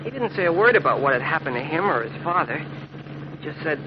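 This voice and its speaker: A man's voice: a little high, very animated, brisk. An actor playing an earnest, indignant teenage boy cub reporter with boyish slang, in 1940s radio serial style. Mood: dejected, earnest. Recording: muffled, noisy old radio recording.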